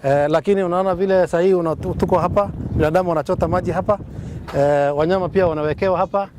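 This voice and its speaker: A man speaks quickly in a slightly high voice, answering in an unscripted interview. He is outdoors, with clear wind and background noise around him. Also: steady tone. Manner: switches between English and Swahili. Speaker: local resident interviewed about water supply. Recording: noisy windy outdoor location sound.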